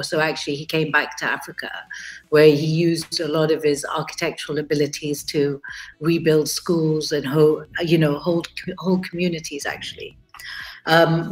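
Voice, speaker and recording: A woman's voice: low voice, low-quality recording. A middle-aged woman from Kenya with a British accent, chatting casually about her life as a guest artist over a video call, with many fillers and restarts.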